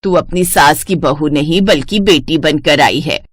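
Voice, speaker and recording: Woman acting nagging older mother-in-law, lower-pitched. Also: a little slow, husky, no room sound, clean studio sound. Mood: gushing. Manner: complaining, nagging. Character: scolding older mother-in-law, grudging and quick to praise others.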